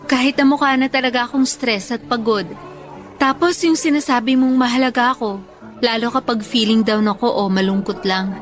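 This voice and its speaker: Tender, high-voiced young schoolteacher. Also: female voice. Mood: playful, fond. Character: tired, affectionate young woman schoolteacher caring for parents. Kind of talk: Filipino radio love drama dialogue.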